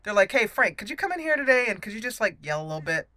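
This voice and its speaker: A woman's voice: medium pitch, sing-song, racing, sharp tone, dry recording. A woman hosting a gaming channel, giving casual, playful let's play commentary and talking to the characters. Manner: playful, talks to game characters.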